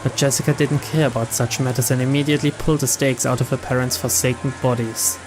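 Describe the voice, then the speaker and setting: A man's voice: medium pitch, level tone, fast, over music. A man giving a dramatic scripted narration of a visual novel as a let's play reader. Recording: clear background ambience noise.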